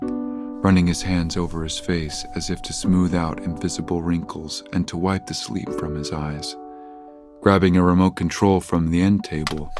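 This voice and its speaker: Male narrator for audio drama, very low-pitched voice, scripted storytelling over prominent music.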